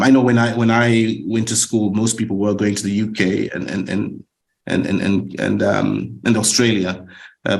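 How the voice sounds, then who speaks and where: A man's voice: on the low side, vocal fry, rough recording. A middle-aged man from Zimbabwe giving a webinar talk, with many fillers and repeated words. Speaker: guest speaker for a student lender. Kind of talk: webinar presentation.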